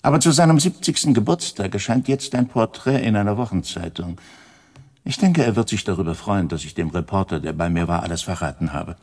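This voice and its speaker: An actor playing a composed, courteous elderly man with a gravelly, husky voice in a radio drama. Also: low voice, lively intonation, fairly quick, darkish tone.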